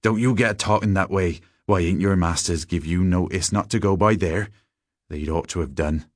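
A man's voice: deep voice, moderate pace, dry recording, studio quality. A man voicing an old country shepherd with a rural English accent, grave and plain-spoken, giving folksy, emphatic warnings in a storybook reading. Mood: startled.

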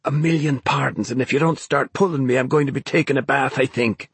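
A man's voice: medium pitch, moderate pace, slightly rough, no echo. A man voicing a young man who is strained, wryly polite and speaks in grunts and urgent whispers. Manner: grunting, effortful, hushed.

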